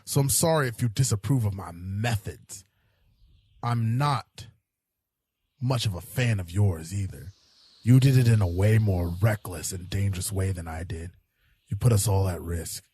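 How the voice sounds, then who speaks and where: A man playing a cynical, self-serving hard-boiled police detective in an audio drama, defensive and pragmatic, with a rough voice. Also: low voice, measured pace, mellow, no echo. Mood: defensive, frustrated.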